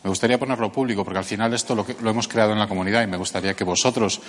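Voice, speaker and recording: A man's voice: lowish voice, very fast. A man from Barcelona with a Spanish accent, giving a conference talk and acting out quotes in a mocking voice. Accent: Spanish from Catalonia. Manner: animated, acts out quotes in a mocking voice. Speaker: conference speaker. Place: conference hall. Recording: echoey conference hall.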